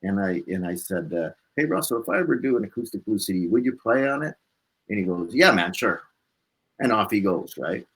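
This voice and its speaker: A man's voice: on the low side, brisk, slightly rough. A man being interviewed as a guest, casually telling personal stories and acting out bits of dialogue as he goes. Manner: animated anecdotal telling, acting out dialogue, tagging phrases with "right?". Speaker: interview guest, blues musician.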